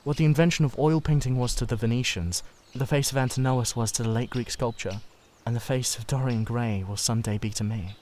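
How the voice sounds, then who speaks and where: He is an earnest, idolising artist, acted out by a man in a dramatised classic novel. His mid-range voice is fervent and confessional, halting as he searches for words. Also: mid-range voice, darkish tone, a little hiss or hum, close to an everyday voice. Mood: devoted, earnest. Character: earnest, intense, idolising male painter. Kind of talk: dramatised literary audiobook reading.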